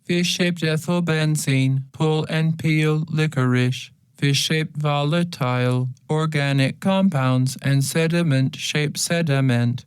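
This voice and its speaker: A woman voicing an emotionless robotic machine in a video game, reciting a list in a matter-of-fact monotone. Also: low voice, slow, few pauses, clear, resonant tone, no echo. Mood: flat, matter-of-fact.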